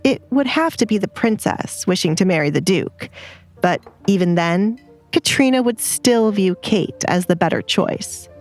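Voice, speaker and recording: A woman's voice: mid-range voice, lively intonation, a little slow, soft background music. A woman reading scripted story narration as the narrator.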